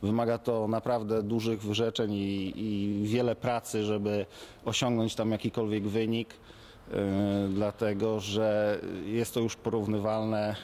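A man answering interview questions in a halting way, pausing often on hesitation sounds. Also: on the low side, little pitch movement, mellow.

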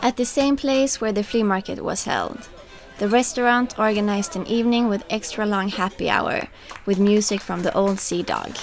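A woman reading a calm scripted voice-over narration. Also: medium pitch, normal speed, vocal fry, few pauses, over music. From Sweden.

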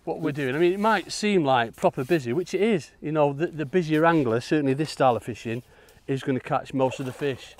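A man's voice: on the high side, brisk, hardly any pauses, no room sound. A man, chatting casually and matily while he fishes beside a lake, on a lapel mic. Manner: chatty, matey, calls people "mate". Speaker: angler presenting a fishing video. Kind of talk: casual chat while fishing. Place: outdoors at a lakeside fishery.

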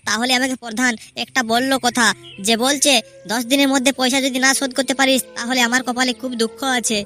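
A woman's voice: high voice, pushed and bright. A performer voicing several fawning male characters in a cartoon. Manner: eager and fawning. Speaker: voices several cartoon men. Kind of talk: Bengali cartoon voice acting.

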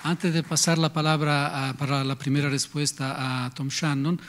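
A man chairing a conference question round, calling on speakers and listing names in a brisk, procedural way at a table microphone in a large hall. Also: fairly high pitch, level tone, a bit bright, some chatter behind. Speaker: moderator.

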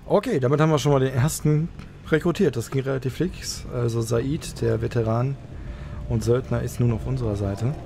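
A man's voice: mid-range voice, brisk, background noise. A man giving casual commentary as a let's player while he plays a game. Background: clear game music.

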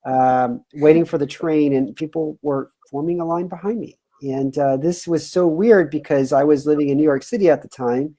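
Man in his 30s, webinar host on a home video call, mellow tone, upbeat and chatty. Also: a little high, normal speed, few pauses. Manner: upbeat, loose, many "uh" fillers. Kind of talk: casual webinar hosting. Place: home room, indoors.

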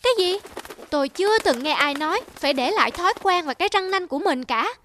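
A woman's voice: very high voice, animated, fast, a bit bright, slight background noise. A woman voicing a stubborn, proud young wolf in a cartoon fable, indignant and declamatory.

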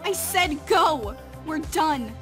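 A woman's voice: high-pitched, expressive, takes it slowly, fairly bright tone, soft background music, exaggerated voice. A woman acting a grieving, betrayed young woman in an amateur game roleplay. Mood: bitter.

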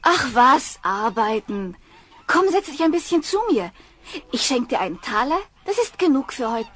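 Fairy-tale audio drama: generous, chummy country girl, high and animated, coaxing delivery. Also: moderate pace, exaggerated voice. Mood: friendly, coaxing. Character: easygoing, generous young country goose girl.

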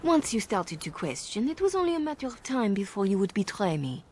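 A woman's voice: mid-range voice, sing-song, a little fast, warm tone, close to an everyday voice. An actress playing a scheming, seductive female spymaster villain in a game, mocking and silky. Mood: cold, accusing. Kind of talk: dramatic game dialogue. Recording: faint background noise.

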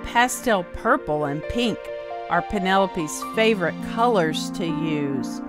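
Slow, expressive woman reading to children. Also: on the low side. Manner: expressive, enunciated, drawn-out key words. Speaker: storyteller, host. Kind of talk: children's read-aloud storytelling. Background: music.

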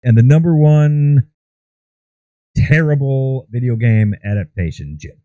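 A man's voice: mid-range voice, measured pace, mellow, no echo. A man in his thirties co-hosting a podcast with casual, joking banter into a close microphone. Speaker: podcast co-host. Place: small indoor room set up as a podcast studio.

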